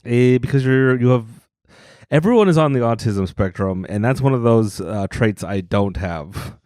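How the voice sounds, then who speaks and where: A man hosting a podcast in casual chat, teasing his guest with dry, deadpan jokes. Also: medium pitch, normal speed, no echo. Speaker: podcast host.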